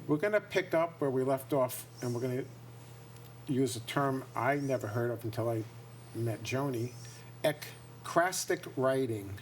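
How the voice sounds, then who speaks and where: A man's voice: mid-range voice, expressive, measured pace. Male host, Bronx accent, friendly interviewer, faint noise behind. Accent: New York Bronx.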